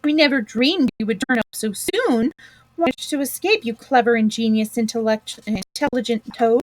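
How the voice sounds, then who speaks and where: A woman voicing an eager, excitable male mole in a storybook, full of exclamations. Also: a little high, normal speed, no echo, natural voice, poor audio. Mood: delighted. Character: hungry eager male mole, grumpy at times.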